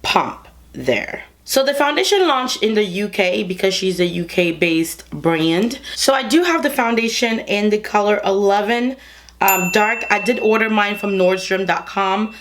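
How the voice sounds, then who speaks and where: Congested young woman vlogger, lowish voice, casual chatty beauty review to camera. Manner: chatty, talks straight to viewers. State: congested, under the weather. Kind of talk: casual makeup review and demo. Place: bedroom studio with clothing rack.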